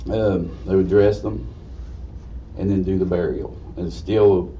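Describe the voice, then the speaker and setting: A man with a slightly raspy voice gives an informal history lecture in a folksy, halting way. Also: low voice, steady tone. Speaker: guest lecturer. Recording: distant mic, dull muffled sound, faint noise.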